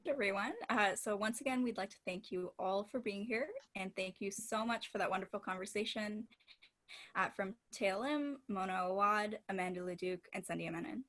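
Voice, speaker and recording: A woman's voice: mid-range voice, normal speed, fairly bright tone. A woman hosting an online event, giving prepared announcements in a polite, formal manner. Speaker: event host.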